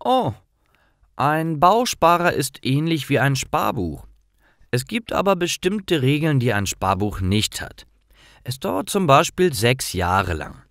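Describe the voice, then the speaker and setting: Male narrator as a friendly explaining woman, raised pitch, patient delivery, storybook dialogue, dry close sound. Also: very animated, takes it slowly, a bit bright, no echo, full character voice.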